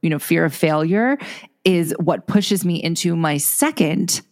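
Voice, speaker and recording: A woman's voice: fairly low pitch, a little slow, a little mellow. A young woman co-hosting a casual chat podcast, rambling with many fillers and restarts. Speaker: podcast co-host. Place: Los Angeles.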